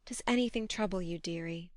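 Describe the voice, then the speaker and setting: A woman voicing a blunt, affectionate young woman, a bossy elder sister in a storybook, with a brisk manner. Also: mid-range voice, sing-song, no echo, studio quality, natural voice. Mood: tender concern.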